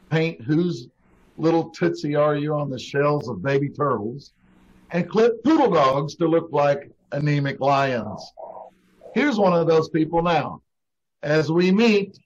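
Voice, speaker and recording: A man working as an announcer, reading scripted narration for an old-time radio comedy. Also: a little high. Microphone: video call. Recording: dull lo-fi video call, faint noise.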